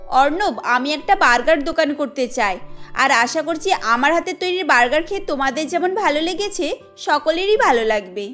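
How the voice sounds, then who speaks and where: A woman's voice: high voice, lively intonation, normal speed, a bit bright, faint music behind. A woman voicing an earnest, polite and determined young mother in a family cartoon.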